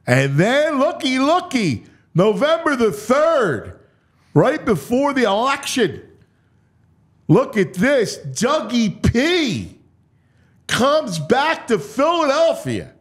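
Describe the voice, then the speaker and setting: An American man hosting a sports talk show, breaking down a schedule with theatrical, announcer-like proclamations and casual asides. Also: high voice. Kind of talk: dramatic, animated schedule breakdown.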